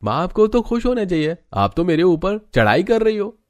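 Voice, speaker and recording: A man's voice: high voice, very animated, moderate pace, voice pushed up. A man voicing a boastful, idle young husband in a cartoon story, brash, self-assured and dismissive. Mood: cocky.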